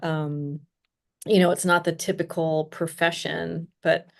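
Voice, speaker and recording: A woman's voice: sits low, flat delivery, measured pace, gravelly. A woman from Hong Kong hosting a conversational interview, reflective and full of "you know" and "right?". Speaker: podcast host.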